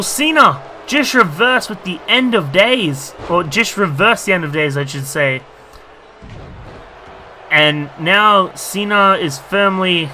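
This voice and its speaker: A man commentating his own wrestling video game match, rambling with lots of fillers and bursting into excitement at big moves. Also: high-pitched, slight background noise. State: losing his voice.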